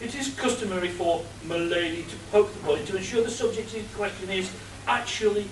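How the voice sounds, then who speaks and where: A man playing a long-suffering butler in an amateur radio play, formal and deadpan. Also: high voice, a little fast, close to an everyday voice. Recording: roomy, distant, audible hiss.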